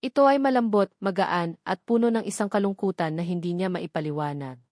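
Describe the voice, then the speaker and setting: A woman with a Filipino accent narrating a scripted romantic audio drama in a measured, dramatic storytelling manner with deliberate pauses. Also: lowish voice, darkish tone, dry recording, clean studio sound.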